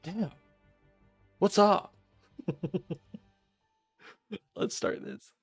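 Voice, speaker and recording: A man's voice: high-pitched, very slow, vocal fry, slightly rough, no room sound, soft background music. A man chatting casually as a game streamer, swearing and joking in loose asides. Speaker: streamer reading a visual novel aloud. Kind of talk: casual stream chat and read-aloud narration.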